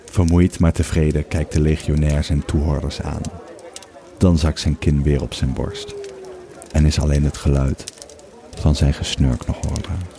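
A man narrating a scripted story in a measured, slightly wry storytelling manner. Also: a bass voice, steady tone, vocal fry, crowd noise.

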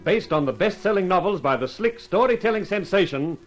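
A theatrical male announcer from 1950s radio, pitched high with big, lively swings. He delivers emphatic lines over loud music. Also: brisk. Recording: old radio recording under loud music.

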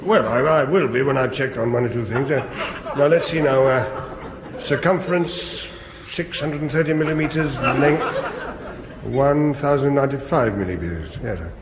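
An actor playing a jovial, stammering English bomb disposal officer in a radio sitcom. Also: male voice, mid-range voice, normal speed, crowd noise, close to an everyday voice. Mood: chirpy. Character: chirpy, dithering male bomb disposal officer. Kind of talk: 1970s radio sitcom acting. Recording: echoey, noisy old radio recording.

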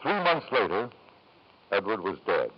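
Low, swooping, domineering lord. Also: male voice, sing-song, a little slow, breathy edge, heavily acted. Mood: sombre narrating. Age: middle-aged. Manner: urbane, insistent, hemming. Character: smooth, domineering wealthy lord and father. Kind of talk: old-time radio drama acting. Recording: muffled 1940s-50s radio recording.

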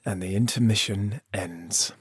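An actor playing a proud exiled lord who narrates a fantasy audio drama in a solemn, theatrical way with dramatic pauses. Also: male voice, lowish voice, very noisy, lightly acted. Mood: bitter, subdued. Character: proud exiled young lord narrating his empire's bitter history.

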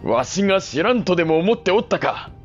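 An actor playing a cold, middle-aged samurai patriarch in a period audio drama, speaking in formal, commanding lordly phrases. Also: male voice, high voice, very animated, fairly quick, dull audio, soft background music.

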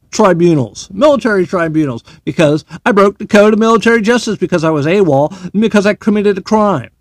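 Animated, sarcastic ranting man. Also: high-pitched, no room sound, clean studio sound. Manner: profane, sarcastic, heated. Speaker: military veteran commentator. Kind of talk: ranting opinion monologue.

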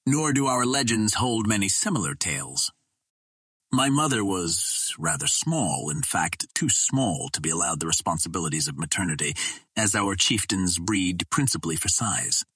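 Man playing a gentle young alien woman, lonely, wistful, soft-spoken, husky, audiobook. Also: deep voice, lively intonation, normal speed, no room sound, studio quality, heavily acted. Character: lonely gentle female green Martian, young.

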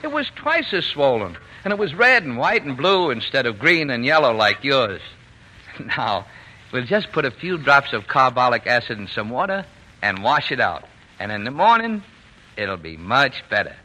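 A man's voice: medium pitch, very animated, moderate pace, sharp tone. An actor playing a cheerful, dreamy working-class father, fond and boastful, folksy and soothing, in a 1940s radio drama. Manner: folksy, soothing, playfully exaggerating. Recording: faintly noisy 1940s radio broadcast.

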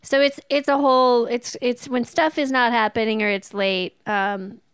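A woman's voice: on the high side, fairly even intonation, normal speed, clean tone, no room sound. A woman co-hosting a podcast in casual, informal conversation, telling stories and acting out bits of dialogue. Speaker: podcast co-host. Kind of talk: casual chat about restaurant work.

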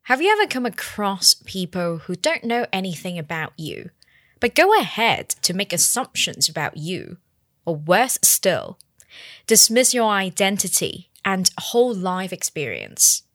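A woman hosting a podcast, reading measured, scripted narration. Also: medium pitch, big pitch swings, a bit bright, no room sound, clean studio sound. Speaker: podcast host.

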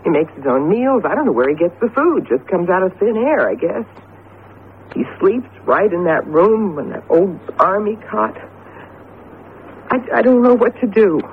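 An actress playing an anxious, distressed woman, fretful and halting, in a 1970s radio drama. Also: medium pitch, lively intonation, natural voice. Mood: worried, helpless. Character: anxious, distressed woman worried about a household member. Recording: tinny, hissy old radio broadcast.

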